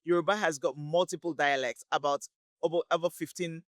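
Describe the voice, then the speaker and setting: A woman from Lagos, a guest in an interview, talking casually and pausing to search for words. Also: low-pitched, fairly even intonation, dry recording, clean studio sound. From Lagos, Nigeria. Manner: thoughtful, unhurried, pauses to search for words. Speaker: guest, community relations worker.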